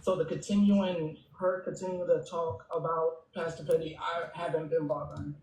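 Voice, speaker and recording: A man's voice: a little high, flat delivery, normal speed, a little mellow. A man speaking as a pastor on a live panel, defensively explaining himself at length. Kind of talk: casual conversation on a live panel. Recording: roomy, distant mic with noise.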